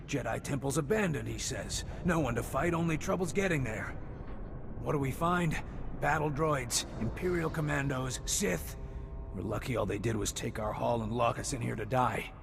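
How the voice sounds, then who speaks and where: Guy doing a jaded game merc, gravelly, blunt n sardonic, noisy ambience behind. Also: medium pitch, moderate pace, lightly acted. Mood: bitter, resigned. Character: cynical, world-weary mercenary leader. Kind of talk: scripted video game dialogue. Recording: clear ambient vehicle noise. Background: ambient noise.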